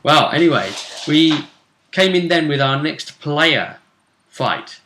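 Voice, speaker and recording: A man's voice: on the high side, animated, slow pace, sharp tone, echoey room. A man chatting casually as he hosts a recap of a tabletop role-play game.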